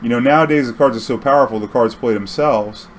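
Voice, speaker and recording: A man casually telling an anecdote in a slangy, swearing, animated way, acting out bits of dialogue. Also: mid-range voice, fast, slight background noise. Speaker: storyteller recounting his own tournament games.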